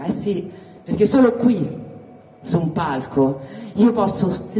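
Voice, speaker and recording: A woman with a Roman Italian accent performing stand-up in a theatrical, emphatic, mock-indignant way. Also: mid-range voice. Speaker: stand-up comedian. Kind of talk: stand-up comedy on stage. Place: live indoor stage before audience. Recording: echoey venue, distant mic, crowd noise.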